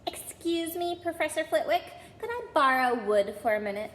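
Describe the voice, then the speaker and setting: A woman voicing a stern, furious older woman schoolteacher from a storybook, sharp, clipped and commanding. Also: high voice, animated, normal speed, voice pushed up. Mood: brisk, commanding. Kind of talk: storybook character voice. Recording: echoey hall, faint background noise.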